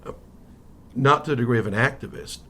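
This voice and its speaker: A middle-aged man from Miami with an American accent, telling anecdotes as an interview guest in a halting, conversational way that often circles back to rephrase. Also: medium pitch, pushed and bright. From Miami, United States. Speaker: interview guest, history professor. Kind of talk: casual interview, anecdotal storytelling. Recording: noticeable background noise.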